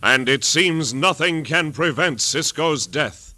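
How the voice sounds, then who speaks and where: Dramatic 1950s radio show announcer. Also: male voice, fairly high pitch, lively intonation, slow pace, sharp tone, no room sound. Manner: dramatic, emphatic. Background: organ music.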